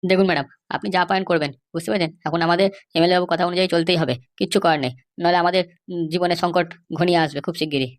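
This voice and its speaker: Woman as crooked cartoon police officer, lowish pitch, extremely fast talker, insistent and dismissive. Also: steady tone, lightly acted. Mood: firm. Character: stubborn, bribe-seeking police officer guarding a prisoner. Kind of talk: Bengali comedy cartoon dialogue.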